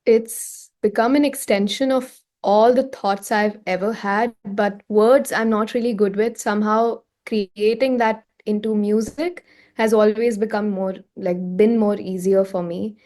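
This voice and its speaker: A woman from India with an Indian accent, answering casually in an interview, chatty and expressive, slipping into Hindi phrases. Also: mid-range voice, a little slow. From Raipur, India. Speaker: singer-songwriter, interview guest.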